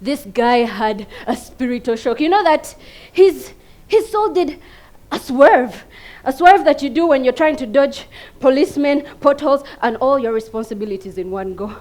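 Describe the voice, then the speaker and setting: A Ugandan woman performing a theatrical comic monologue, emphatic and dramatic, swinging between hushed and loud delivery. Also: high voice, lively intonation, moderate pace. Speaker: competition contestant performing a monologue. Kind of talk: theatrical comedic stage monologue.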